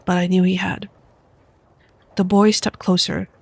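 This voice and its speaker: A young woman with a stuffy nose from a cold, reading a book aloud softly as an ASMR streamer into a close microphone. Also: lowish voice, level tone, gravelly, slightly rough, dry recording. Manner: soft, quiet, unhurried reading voice. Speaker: VTuber streamer.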